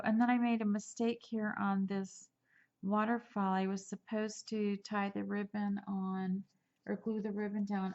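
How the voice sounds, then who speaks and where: A woman's voice: mid-range voice, a little mellow, highs cut off. A woman casually showing off a handmade craft album, chatty and rambling, a little sniffly from allergies. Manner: chatty, rambling, with small laughs and restarts. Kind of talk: casual craft show-and-tell.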